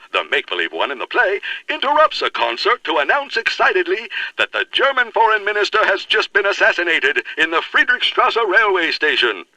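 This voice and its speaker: A man's voice: high voice, sharp tone, dry recording, exaggerated voice, low-quality recording. A man playing an emphatic, urgent radio announcer reading a dramatized 1930s news bulletin. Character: urgent, alarmed German radio announcer breaking in.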